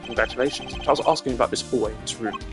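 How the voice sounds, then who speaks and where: A performer voicing a composed, stern male prosecutor from a video game, speaking formally and deliberately with a cutting dryness. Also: medium pitch, over music, natural voice. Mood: dry reproach.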